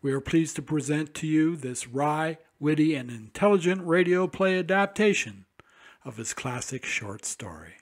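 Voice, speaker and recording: A man's voice: fairly high pitch, animated, normal speed. A man presenting a radio drama with a scripted, formal introduction. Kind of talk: scripted narration.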